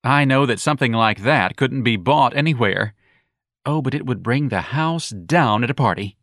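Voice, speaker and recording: A man voicing a flamboyant, teasing young man who mocks in a high, gleeful voice. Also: lively intonation, moderate pace, a bit bright, no room sound, studio quality. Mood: catty. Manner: campy, mocking, shrieking.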